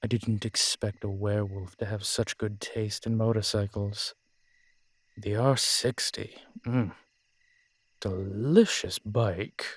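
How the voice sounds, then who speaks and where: Cultured vampire biker played by a man: suave, understated, measured and slow, slightly lowered voice, dry close sound. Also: steady tone, no room sound. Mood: dryly amused. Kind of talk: audio roleplay drama acting.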